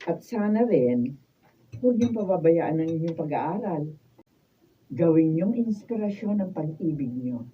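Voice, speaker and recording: A woman's voice: low voice, expressive, a little slow. A Filipino woman playing a caring, moralizing middle-aged mother in a radio drama. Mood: earnest, motherly. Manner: earnest, admonishing. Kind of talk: Tagalog radio drama acting. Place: radio drama studio, filmed from afar. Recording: distant, muffled, faintly noisy studio sound.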